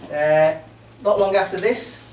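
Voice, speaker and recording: Middle-aged best man, roomy hall. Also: sits high. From Merseyside, England. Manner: warm, joking, halting with many ums. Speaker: best man giving a wedding speech. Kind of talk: wedding speech. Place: wedding reception room. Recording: roomy hall, mic far off, faint noise.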